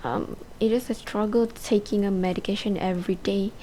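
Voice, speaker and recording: A woman's voice: mid-range voice, fairly even intonation, normal speed, dark tone, a little hiss or hum, close to an everyday voice, rough recording. A young woman playing a fragile, depressed therapy patient in an amateur radio drama, hesitant and sometimes near whispering. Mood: fragile, withdrawn.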